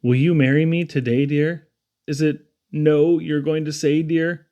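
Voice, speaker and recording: A man's voice: fairly high pitch, little pitch movement, a little slow, dark tone, lightly acted. A man voicing a lovesick turtle from a children's poem, wooing in a tender, imploring, flowery way. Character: lovesick, pleading male turtle suitor.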